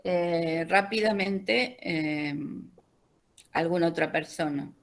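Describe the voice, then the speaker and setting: An Argentine woman teacher talks a student through her assignment in an online class. She speaks slowly, with a gravelly fry and frequent pauses and fillers. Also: fairly low pitch. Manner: slow, hesitant with many fillers.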